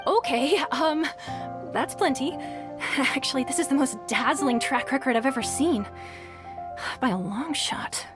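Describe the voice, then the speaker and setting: A woman's voice: sits high, measured pace. A woman playing a polite, earnest young tournament registrar in a video game, speaking hesitantly. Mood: polite, businesslike. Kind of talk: video game character acting. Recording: light game music behind.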